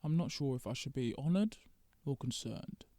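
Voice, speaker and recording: A man's voice: mid-range voice, lively intonation, normal speed, warm tone, dry recording, studio quality, natural voice. A man playing Santa as a sheepish, stubborn boss in a scripted comedic audio drama, hesitant and defensive. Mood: concerned, questioning.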